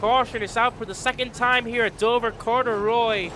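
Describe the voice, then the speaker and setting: Man, stuffy from a cold, excitable play-by-play caller; high voice; loud engine noise behind. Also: moderate pace. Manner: excitable, rises in pitch at restarts and wrecks. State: stuffy, a little sick. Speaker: race commentator. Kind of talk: sim racing play-by-play commentary.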